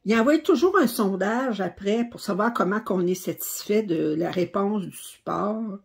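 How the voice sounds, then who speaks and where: A woman's voice: medium pitch, normal speed, creaky, slightly rough. A middle-aged woman with a Québécois French accent, hosting a craft channel and chatting casually to camera with many fillers and restarts. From Quebec, Canada.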